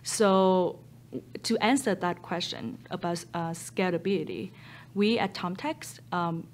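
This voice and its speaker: A woman in her thirties with a Vietnamese accent talks through a seated studio interview in a fairly low voice, earnest and steady, with plenty of ums and slips in her English grammar. A boom mic picks her up in a studio, with a faint hiss underneath. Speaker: startup founder. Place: photo studio with a blue backdrop, indoors. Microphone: boom mic overhead.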